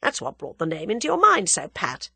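Woman voicing a sceptical young English man, slightly husky. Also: lowish voice, huge pitch swings, bright, forceful tone, dry recording, heavily acted. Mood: puzzled, firm. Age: young adult. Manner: measured, sceptical, dry. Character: sensible, doubtful, wry young English man. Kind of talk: dramatised audiobook reading.

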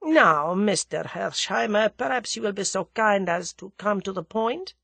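A woman's voice: medium pitch, unhurried, gravelly, a bit bright, no room sound, voice pushed up. A woman voicing a cowardly, venomous Russian aristocrat in formal, theatrical speech for an audiobook. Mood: haughty, smooth. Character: venomous, cowardly Russian aristocrat man, haughty then panicky.

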